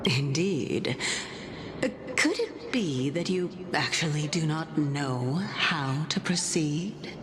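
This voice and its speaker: An actress playing an elegant, self-assured, scheming noblewoman in a video game, with a measured, formal and teasing delivery over music. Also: low-pitched, expressive, noisy background. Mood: teasing, calculating. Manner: measured, formal, teasing, breaks into hushed phrase endings. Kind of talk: game character voice acting. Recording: game stream with music underneath.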